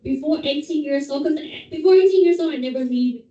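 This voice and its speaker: Woman teacher, high mellow voice, questioning manner; dull, echoey classroom recording from a distance. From Taiwan. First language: Taiwanese. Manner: asks many questions, quick back-channel replies. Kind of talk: leading a class discussion.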